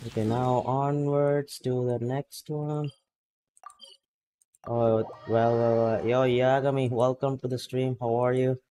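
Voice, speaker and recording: Male streamer, relaxed and slow-talking, casual chatter with frequent laughs. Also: mid-range voice, slight background noise. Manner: laid-back, chatty, laughs often, greets chat by name. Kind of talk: casual gameplay stream chat.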